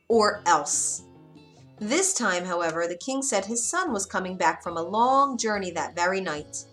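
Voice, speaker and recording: A woman's voice: medium pitch, fairly bright tone. A woman narrating a scripted bedtime fairy tale for children, expressively and with dramatic emphasis. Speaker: children's storyteller. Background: music.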